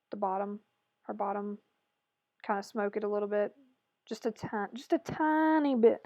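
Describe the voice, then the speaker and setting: A woman with a hoarse, raspy voice chatting through a makeup tutorial voice-over, rambling and correcting herself as she goes. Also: medium pitch, no echo. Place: voice-over recorded separately from the video.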